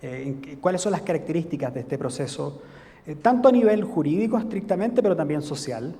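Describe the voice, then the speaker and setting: A man with a Chilean accent giving an academic lecture as a guest speaker, hesitant and pausing often with filler sounds. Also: on the high side, expressive, warm tone. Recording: reverberant hall.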